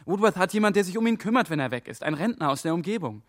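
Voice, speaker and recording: A young man voicing an intern who works out clues with quick excitement, in a radio crime drama. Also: high-pitched. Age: young adult. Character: young male intern turned sleuth.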